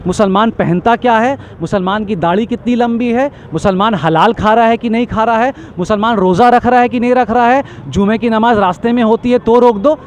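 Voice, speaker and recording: A man's voice: sits high, slight background noise. A man giving an impassioned street interview, talking emphatically in long repetitive lists and stammering when agitated.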